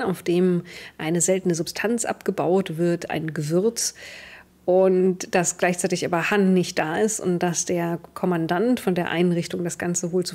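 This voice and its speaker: A woman's voice: fairly low pitch, darkish tone. A young adult woman, recapping a tabletop role-playing game to her players as game master on a video call.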